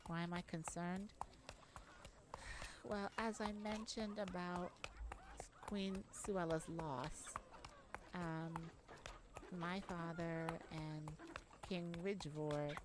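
A brave, worried young princess, acted by a woman, speaks slowly and gently in an ASMR roleplay. Her voice is on the low side, earnest and hesitant, over clearly audible noise. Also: steady tone. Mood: wistful, uneasy. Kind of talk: ASMR audio roleplay to the listener.

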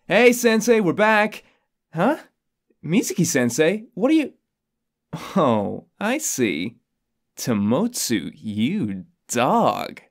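A man's voice: on the high side, very animated, slow pace, heavily acted. A man playing a cheeky, girl-crazy teenage boy in an amateur anime-style drama. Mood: teasing.

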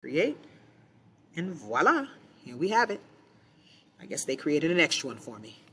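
A woman teacher giving clear step-by-step instructions in a screen-recorded software tutorial, voiced over the screen. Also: deep voice, big pitch swings, unhurried.

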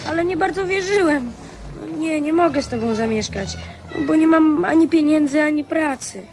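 A woman's voice: high voice, expressive, normal speed, darkish tone. An actress playing a breezy, plain-spoken street boy down on his luck in a radio drama, talking in a colloquial, offhand way. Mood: rueful, casual.

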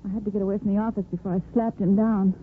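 A woman's voice: medium pitch, fairly even intonation, racing, slight background noise, natural voice. An actress playing a breezy, outspoken young office woman in a 1950s radio soap. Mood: breezy, chatty. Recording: muffled old radio recording.

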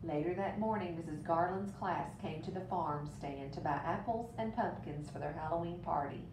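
Low-voiced woman reading a storybook, roomy sound. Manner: expressive, deliberate storytelling for young listeners. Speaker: teacher reading aloud to children. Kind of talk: storybook read-aloud with brief asides. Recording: roomy, distant mic with rumbling noise.

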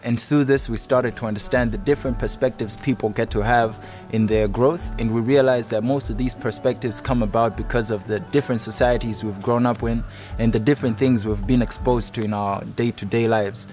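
A young Malawian man gives a documentary interview as a participant in a youth program. His pitch is ordinary for a man, and music plays clearly behind him. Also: fairly even intonation, brisk, darkish tone. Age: 20s.

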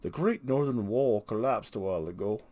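A man reading as an earnest, loyal soldier in a fantasy game, measured and declaiming. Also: on the low side, lively intonation, warm tone, natural voice. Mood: proud. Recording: noisy home recording.

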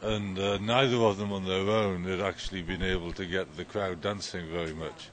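A man hosting a casual podcast, talking in a relaxed, rambling way with many fillers, recorded outdoors on a handheld recorder. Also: sits low, normal speed, a little hiss or hum. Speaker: podcast host. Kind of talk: casual chat. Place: outdoors at a festival.

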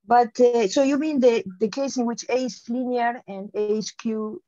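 A woman's voice: a little high, fairly even intonation, normal speed, warm tone, dry recording. A middle-aged woman on a video call, giving an explanatory academic answer and often restarting her phrases. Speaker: keynote speaker, mathematician. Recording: dull, lo-fi video call audio.

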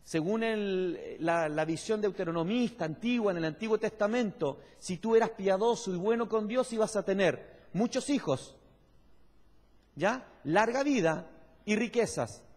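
A man's voice: sits high, lively intonation, a little slow, darkish tone. A man preaching a sermon in an explanatory, emphatic teaching manner, putting questions to the congregation. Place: large church hall, on stage at a pulpit. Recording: echoey church hall with long reverb.

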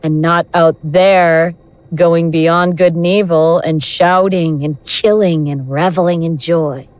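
A woman's voice: lowish voice, fairly even intonation, a little mellow, no echo. A woman acting in an audio drama as a mocking elder god in the guise of a young woman, teasing and condescending. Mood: knowing, amused. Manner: slow, teasing, condescending, self-possessed.

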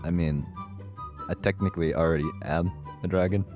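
A man's voice: low voice, fairly even intonation, brisk. A man improvising in a game roleplay, hesitant and playfully matter-of-fact as he talks. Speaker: player in a Minecraft roleplay. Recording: faint music behind the voice.

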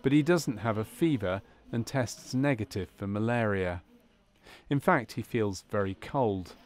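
Guy with a fairly low voice doing formal measured documentary voiceover for a medical training film. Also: expressive. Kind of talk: scripted documentary narration.

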